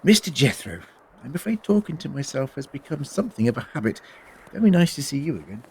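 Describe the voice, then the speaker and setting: A man's voice: medium pitch, very animated, fast talker, a little mellow, slightly rough, exaggerated voice. A man playing a courteous, eccentric travelling doctor in an audio drama, musing and articulate. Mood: genial, wry. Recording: faint noise and ambience.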